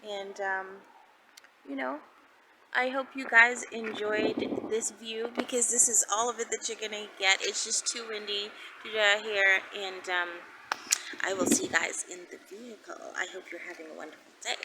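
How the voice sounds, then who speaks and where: A woman chatting casually and unscripted to her viewers, with a drawn-out, hesitant way of talking. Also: mid-range voice, steady tone, distant mic, background noise. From Bahamas. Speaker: vlogger answering viewer questions. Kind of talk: casual, unscripted question-and-answer chat to viewers.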